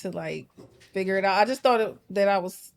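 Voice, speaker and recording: A woman's voice: medium pitch, fairly quick, creaky. Woman guest, first language Patois, candid, reflective, conversational. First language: Jamaican Patois. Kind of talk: casual talk-show conversation.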